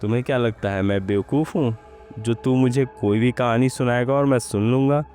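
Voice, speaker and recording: A man's voice: medium pitch, a little fast, dark tone, soft background music, voice pushed up, lightly acted. A man voicing a cruel, bullying landlord in a Hindi-style village horror story, with a threatening, scornful manner. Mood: angry, scornful. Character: cruel, menacing landlord man, bullying his farm workers.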